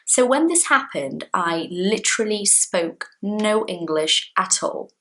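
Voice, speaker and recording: A woman's voice: medium pitch, slow. Chatty young woman. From Brazil. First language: Portuguese. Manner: chatty, expressive, gestures with hands. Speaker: English teacher. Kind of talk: casual talk to camera. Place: small indoor room at home.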